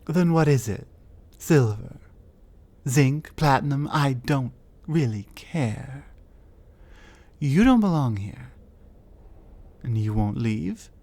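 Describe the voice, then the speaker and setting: A woman's voice: rumbling low, lively intonation, slow pace, long pauses, dark tone, no echo, heavily acted. A woman voicing a huge, reclusive female troll in an ASMR audio roleplay, curt and commanding. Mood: cold, mocking. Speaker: voice actor.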